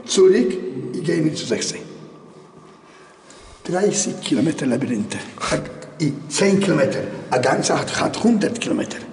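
Echoey, high-pitched Polish-accented man guiding a tour. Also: expressive, moderate pace, darkish tone. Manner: broken German, short repeated phrases, jovial. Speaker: tour guide. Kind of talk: informal guided tour chat. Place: underground concrete bunker tunnels. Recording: echoey tunnel, distant mic, faint noise.

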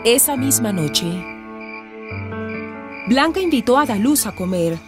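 A woman's voice: on the high side, sing-song, unhurried, music in the background. A woman narrator reading scripted narration for a radio drama. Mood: storytelling.

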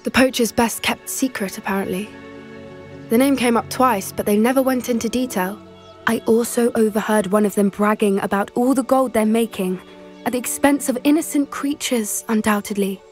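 A woman's voice: on the high side, normal speed, darkish tone, soft background music, close to an everyday voice. A young woman with a British accent playing a caring, earnest teenage witch in a video game, speaking in a confiding way. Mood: earnest, concerned. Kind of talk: video game cutscene acting.

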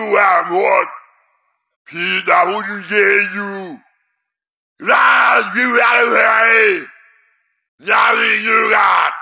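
An actor playing an aggressive, hot-tempered henchman boss in a video game, barking short threats in a gravelly, raspy voice. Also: sits high, sharp tone, exaggerated voice. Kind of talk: video game combat barks.

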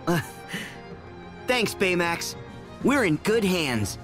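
A man's voice: high voice, sing-song, takes it slowly, heavily acted. A man playing a kind, eager teenage boy hero in a video game, speaking earnestly and wholeheartedly. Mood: curious, willing. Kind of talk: animated video game cutscene acting. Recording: music behind the voice.

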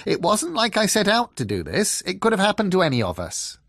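A man voicing a sly, breezy trickster fox with a bright, smooth, evasive way of talking in a storybook. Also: sits high, wildly swooping pitch, brisk, no echo, clean studio sound, pitched above the performer's own voice, heavily acted. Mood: defensive. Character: glib, sly male trickster fox, breezy and self-justifying.

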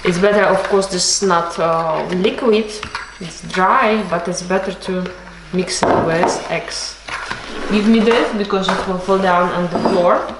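A woman's voice: on the low side, fairly even intonation, unhurried, very noisy. Woman teaching baking in an echoey kitchen. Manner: patient, instructive, non-native English with Russian words. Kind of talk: home cooking lesson with her child. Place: home kitchen table, indoors. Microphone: camera across the table. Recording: echoey kitchen with clattering dishes.

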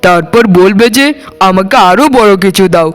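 A man's voice: high voice, moderate pace, fairly bright tone, clean tone. A man voicing a poor, put-upon labourer husband in a cartoon, plaintive and reproachful. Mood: weary, reproachful. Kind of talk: Bengali cartoon voice acting. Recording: music behind the voice. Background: music.

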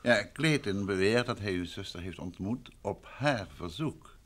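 An actor playing a composed, probing gentleman detective in an old Dutch radio play, polite, measured and formal in his questioning. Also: fairly low pitch, expressive. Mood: polite, firm.

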